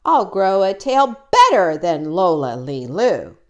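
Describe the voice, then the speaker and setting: A vain, jealous little girl bird, as a woman acts her out in a storybook reading. She squeals and whines in a high voice that leaps all over the place, drawn out slowly. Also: lo-fi sound. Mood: gleeful. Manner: whiny, squealing, excited. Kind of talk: storybook read-aloud.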